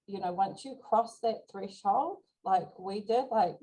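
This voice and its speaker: Woman co-host, warm mellow voice, supportive and conversational. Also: medium pitch, a little slow, poor audio. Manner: supportive, frequent "you know". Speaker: podcast co-host. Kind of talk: casual chat.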